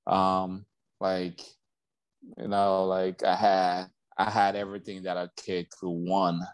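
A man's voice: low voice, monotone, takes it slowly, clean tone, no room sound. A man in his forties from Liberia, reflecting on his life as a guest in a casual interview, with lots of "like" and "you know".

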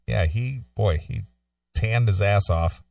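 A man's voice: fairly low pitch, slow pace, gravelly, no room sound, recorded from a distance, faint music behind. A man co-hosting a casual talk show, reading out news items and commenting in an informal, joking way. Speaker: show co-host.

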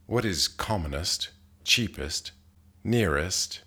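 A man reading poetry aloud in a measured, deliberate manner, pausing between phrases. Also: sits low, expressive, creaky, bright, forceful tone, husky. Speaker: poetry reader. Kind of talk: literary poetry reading, scripted recitation.

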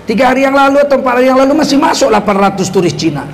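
A man's voice: very high voice, expressive, rapid-fire, a little hiss or hum. A male Islamic preacher, giving a religious lecture laced with sarcastic political commentary and rhetorical questions to the audience. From North Sumatra, Indonesia.

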